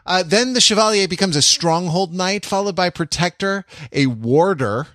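A man co-hosting a casual podcast, talking in a halting, repetitive way with wry, bookish asides. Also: high voice, lively intonation, fairly bright tone, no room sound, studio quality. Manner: halting, circles back and repeats himself. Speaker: podcast co-host.

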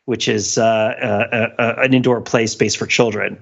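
A man's voice: fairly low pitch, steady tone, normal speed, creaky, highs cut off. A man co-hosting a casual podcast discussion, rambling and interrupting himself as he thinks aloud. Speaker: podcast host.

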